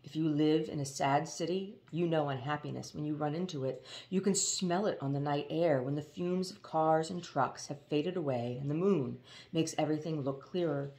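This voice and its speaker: A woman reading a novel aloud as expressive storybook narration. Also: low voice, moderate pace. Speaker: audiobook reader.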